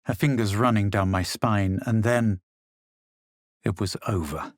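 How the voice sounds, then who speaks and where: An actor playing a brooding male fantasy adventurer, narrating his visions for a video game in a measured, solemn way with a low, husky voice. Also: no echo, clean studio sound, natural voice. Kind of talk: dramatic first-person game narration.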